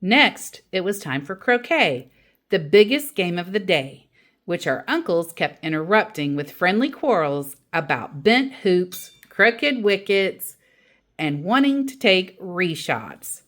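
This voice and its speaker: A woman reads a children's book aloud with a very expressive, swooping delivery. Her voice sits a little low, and she takes her time, shaping each line like a storyteller. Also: slow pace. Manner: acts out quoted dialogue expressively. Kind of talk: animated read-aloud storytelling.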